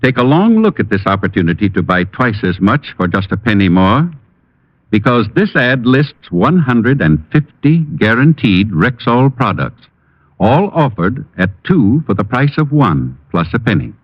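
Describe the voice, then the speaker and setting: Male sponsor announcer, lowish voice, very lively intonation, polished emphatic selling, dull vintage radio sound. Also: measured pace, dry recording. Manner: polished, emphatic sales delivery. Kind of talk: 1950s radio commercial read. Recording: dull, dry old radio recording.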